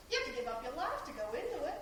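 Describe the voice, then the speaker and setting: A woman on stage playing a bitter, sharp-tongued doctor's wife, wry and condescending with sugary endearments. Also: sits high, big pitch swings, rapid-fire. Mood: confiding. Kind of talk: theatrical stage play acting. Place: theater stage, captured from far off. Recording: distant echoey stage recording with hiss.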